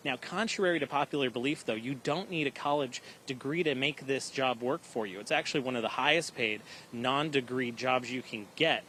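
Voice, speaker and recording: A man reporting live for TV news with an emphatic, slightly halting delivery. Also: medium pitch, bright, forceful tone, a little hiss or hum, rough recording. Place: live at an airport.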